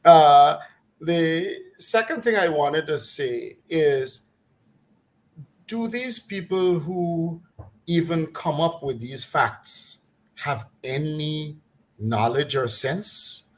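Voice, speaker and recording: A man's voice: fairly high pitch, extremely slow. A middle-aged man with a Guyanese accent, talking casually in a mocking, exasperated way and laughing now and then as he rants. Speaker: commentator on a talk program. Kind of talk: casual opinionated chat.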